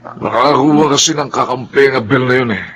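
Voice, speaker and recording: A man's voice: medium pitch, fairly quick, fairly bright tone, slight background noise, close to an everyday voice. A man playing a vengeful, arrogant young bully in a Filipino radio drama, taunting and menacing. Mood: resentful.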